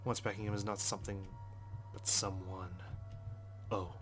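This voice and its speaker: Low-voiced man narrating, fairly even. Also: normal speed, soft background music. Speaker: let's play host. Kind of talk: dramatic read-aloud narration of a visual novel with casual asides.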